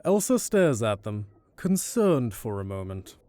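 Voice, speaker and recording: A man's voice: mid-range voice, big pitch swings, unhurried, mellow. A man narrating a scripted fantasy story in the third person as the narrator of an audio drama.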